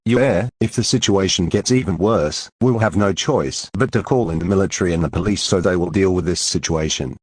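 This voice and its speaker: A man's voice: sits low, fairly quick, hardly any pauses, breathy edge, dry recording. A man playing a male news reporter in an amateur mock news drama, on a noisy recording. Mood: serious. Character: male news reporter, alarmed about a monster.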